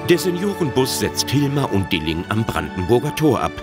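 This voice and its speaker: A man's voice: mid-range voice, very animated, brisk, over loud music. A man narrating a comedy radio play from a script in a wry, dramatic storytelling manner.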